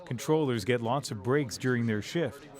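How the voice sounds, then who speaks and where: A man's voice: mid-range voice, normal speed, a little mellow. A man with a Canadian accent working as a TV news reporter, delivering scripted narration and interview questions.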